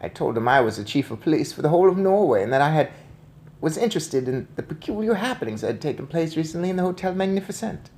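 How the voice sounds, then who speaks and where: A man voicing a loving, proud and crafty old Norwegian grandmother in a storybook, with measured, emphatic delivery. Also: fairly high pitch, very animated, darkish tone. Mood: proud, sly.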